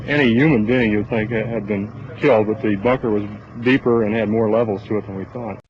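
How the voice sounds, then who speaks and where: A man giving a halting, matter-of-fact eyewitness account outdoors, like a military man reporting. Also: fairly low pitch, steady tone, crowd noise. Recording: dull, noisy outdoor newsreel recording.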